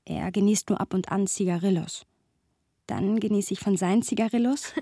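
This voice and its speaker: Witty woman in a radio play, bit husky, wry and playful, likes to argue and ramble. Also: mid-range voice, normal speed, dark tone, no room sound, clean studio sound. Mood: wry, reflective. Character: Sophia, witty, argumentative woman musing about her friend. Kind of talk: radio play narration and dialogue.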